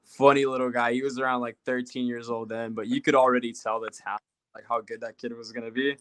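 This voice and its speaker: A man's voice: mid-range voice, level tone, fast, a bit bright, clean tone, dry recording. A young man from Puerto Rico, a guest telling rambling stories in casual chat with many fillers.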